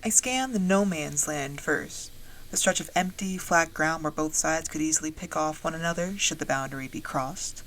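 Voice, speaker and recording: A woman playing a wry dragon-riding soldier, narrating a fantasy audio drama in the first person in a measured storytelling way. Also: sits low, a bit bright, slight background noise, low-quality recording. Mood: composed, watchful.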